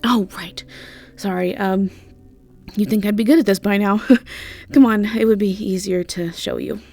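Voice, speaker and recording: A woman voicing a brisk, chatty, easily irritated witch innkeeper in an audio drama, speaking casually with hesitations and swearing. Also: medium pitch, steady tone, darkish tone, soft background music, natural voice. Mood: chatty, friendly. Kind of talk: audio drama character acting.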